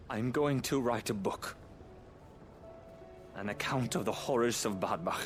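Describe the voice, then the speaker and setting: A grieving male survivor in a video game cutscene. His voice sits on the low side and moves very slowly, with formal, solemn gravity. Music plays clearly behind him. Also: fairly bright tone. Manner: solemn, formal, measured speech. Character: grieving, earnest man who survived a cruel orphanage. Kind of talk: dramatic video game acting.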